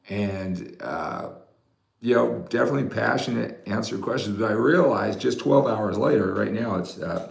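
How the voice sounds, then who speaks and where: A mature American man hosts a casual livestream as a fitness coach. His voice is low and heavily creaky, with a roomy echo around it. He rambles conversationally and often checks in with a quick "right?". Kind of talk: casual livestream chat to viewers. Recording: roomy, echoey room sound.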